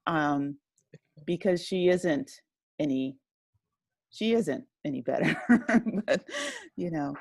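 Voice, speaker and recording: A woman's voice: low voice, slow pace, vocal fry, long pauses, darkish tone, husky, no echo. A woman speaking as an interview guest, reflective and appreciative, in a casual conversational style. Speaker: interview guest, writer and director.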